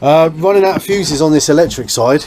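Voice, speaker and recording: A man's voice: a little fast, a little mellow. A British man giving casual, hands-on vlog commentary, thinking aloud informally as he works on a small boat. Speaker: host of a boat restoration vlog. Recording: noisy ambient sound, engine-like rumble.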